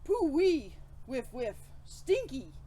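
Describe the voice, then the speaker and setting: Woman playing a high-voiced, plucky little storybook pixie girl. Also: sing-song, slow pace, warm tone, slight background noise, full character voice. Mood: startled. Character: small young female pixie, timid but determinedly brave.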